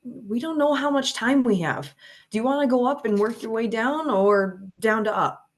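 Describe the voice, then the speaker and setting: A woman's voice: fairly high pitch, moderate pace, natural voice. A woman acting a determined, practical young woman on a search, in a screenplay table read. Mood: purposeful.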